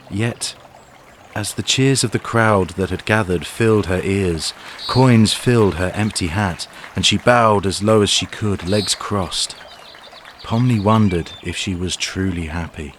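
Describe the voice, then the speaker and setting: Low-voiced male narrator over music. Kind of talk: scripted story narration for audio drama.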